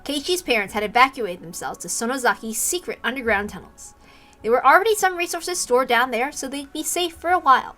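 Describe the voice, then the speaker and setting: A woman's voice: high voice, expressive, brisk, pushed and bright, soft background music. A woman streamer reading a story aloud on a livestream, slipping into casual asides.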